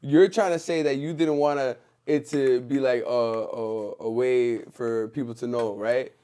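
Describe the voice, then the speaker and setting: A man's voice: mid-range voice, steady tone, measured pace, warm tone, clear, resonant tone. Blunt probing podcast host, man. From Venezuela. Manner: blunt, probing questions, frequent cursing. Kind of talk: casual podcast chat.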